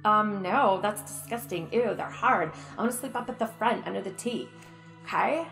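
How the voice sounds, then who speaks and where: A woman's voice: mid-range voice, normal speed, vocal fry, a bit bright, soft background music. A middle-aged woman playing a frantic, demanding, fussy woman in an improvised soap opera, whiny and rambling.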